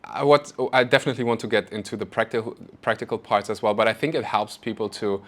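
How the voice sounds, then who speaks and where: Male podcast host, German native speaker, chatty and animated, self-deprecating storyteller. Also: lowish voice, fast. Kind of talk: casual interview conversation.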